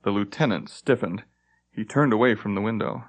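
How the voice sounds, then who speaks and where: A man's voice: fairly low pitch, moderate pace. A man reading scripted fantasy narration as an audiobook narrator.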